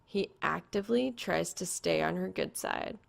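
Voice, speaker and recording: A woman narrates fan fiction from a script. Her voice sits on the low side and has a clearly creaky, gravelly edge, as she reads the story through. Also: moderate pace.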